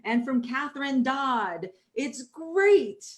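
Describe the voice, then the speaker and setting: A woman's voice: high voice, animated, takes it slowly. A woman acting as MC of a virtual stage event, announcing performers at the curtain call.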